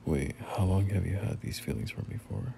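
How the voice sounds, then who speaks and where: A young man playing a bashful, teasing friend turned boyfriend in an intimate roleplay audio, speaking in halting, murmured slang. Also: sits low, expressive, gravelly, warm tone, a little hiss or hum, full character voice. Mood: stunned, unsure.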